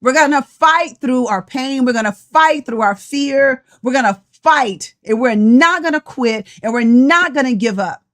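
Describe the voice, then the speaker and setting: A woman's voice: high voice, expressive, a little slow, hardly any pauses, a bit bright. Passionate sixty-year-old woman coach. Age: 60s. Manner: passionate, preachy, addresses viewers directly. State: tired. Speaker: life coach. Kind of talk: motivational live-stream talk.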